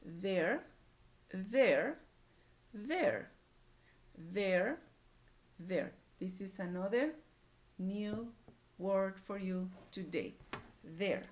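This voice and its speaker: Slow, animated woman teaching young readers. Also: medium pitch. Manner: repeats words and phrases, coaxing listeners with questions. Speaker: early-grade reading teacher. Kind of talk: read-aloud lesson for young children.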